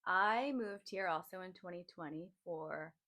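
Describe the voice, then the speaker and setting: Gravelly-voiced Midwestern American woman. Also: on the low side, unhurried, sharp tone. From Midwest United States. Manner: supportive, frequent short affirmations. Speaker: podcast co-host. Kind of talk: casual chat.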